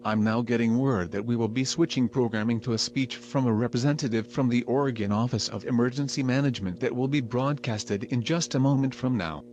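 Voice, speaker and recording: A man's voice: medium pitch, brisk, hardly any pauses, darkish tone, muffled recording, natural voice. A man playing a serious local TV news reporter, delivering a scripted breaking news report. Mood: serious, formal. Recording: clearly noisy recording with hum.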